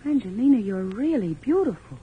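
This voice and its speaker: An actress playing a plucky young heroine, hard on herself, in 1940s radio style. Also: female voice, on the high side, very animated, a little fast, breathy edge, exaggerated voice. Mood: wry. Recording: muffled old radio recording.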